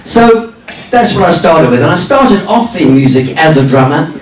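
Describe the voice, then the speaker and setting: Older man, from Zimbabwe, stage patter, joking, slightly high voice, roomy distant sound. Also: lively intonation, normal speed. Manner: self-deprecating, joking with the audience. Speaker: cruise director and musician. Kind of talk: live stage patter between songs. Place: ship's lounge stage.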